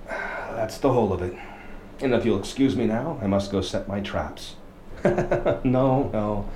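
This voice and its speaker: An actor playing a haunted lighthouse keeper who tells a horror tale in a brooding, halting, dramatic radio play monologue. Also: male voice, fairly low pitch, a little mellow. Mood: bitter resignation, then uneasy, wry. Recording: echoey, noisy location recording in lighthouse.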